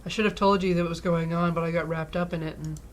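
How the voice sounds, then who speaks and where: A woman with a slightly low voice co-streams a game. She talks casually, commenting and reading some lines aloud. There is audible noise behind her. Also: fairly even intonation, quick pace. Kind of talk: casual chat during a live game stream. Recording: clearly audible background noise.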